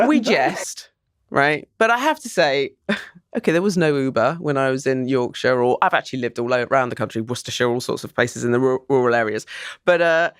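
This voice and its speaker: A woman's voice: rumbling low, expressive, brisk, clear, resonant tone, dry recording, studio quality. A woman co-hosting a podcast, chatting casually and asking lots of curious questions. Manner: inquisitive, keeps asking questions. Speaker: podcast co-host. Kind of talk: casual chat.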